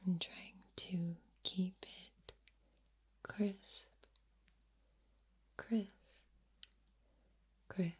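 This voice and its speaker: Female ASMR host: very breathy whisper, extremely unhurried, lingering and repeating words, close-miked. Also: lowish voice, level tone. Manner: deliberate, drawn-out delivery with repeated words. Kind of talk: soft-spoken ASMR, mostly whispered. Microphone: close, sensitive microphone.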